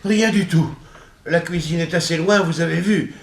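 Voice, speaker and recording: An actor playing a frail old servant who is hard of hearing, in a 1960s French radio drama with a roomy sound. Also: male voice, a little high, moderate pace, vocal fry, slight background noise. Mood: plaintive.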